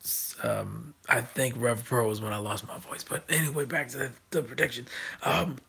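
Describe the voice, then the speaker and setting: A man who has lost his voice, talking through casual wrestling predictions with a hoarse, raspy voice and many ums, restarts and throat clearing. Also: high voice, fairly bright tone. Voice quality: raspy, gravelly. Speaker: wrestling vlogger.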